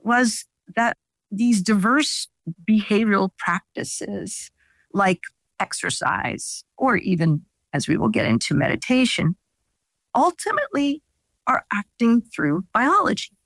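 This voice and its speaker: A woman's voice: medium pitch, very animated, slow pace, a bit bright, no room sound. A woman scientist whose first language is Italian, explaining her research in an interview with many fillers and restarts. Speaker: scientist guest. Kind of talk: interview answers.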